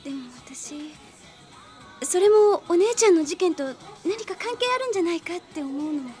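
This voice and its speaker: An earnest young woman in a radio drama, soft and polite with a very high voice. Music plays underneath. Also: lively intonation, normal speed. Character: earnest young woman worried about a case. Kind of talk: 1990s radio drama acting.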